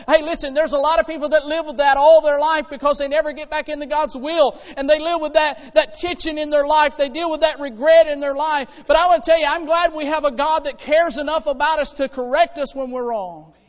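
A man preaching to a congregation in a folksy, conversational way, moving between hushed asides and loud exhortation. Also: very high-pitched, steady tone, a little fast, hardly any pauses. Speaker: preacher and church choir director. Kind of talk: animated sermon to a congregation. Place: church hall, preaching to congregation. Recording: reverberant hall with long echo.

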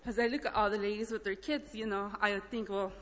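A woman from El Salvador shares an informal testimony in church. Her medium-pitched voice is halting, earnest and full of feeling. It rings through a hall with long reverb. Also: steady tone, a bit bright. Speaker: church mission trip member giving a testimony. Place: large indoor church hall, speaking up front.

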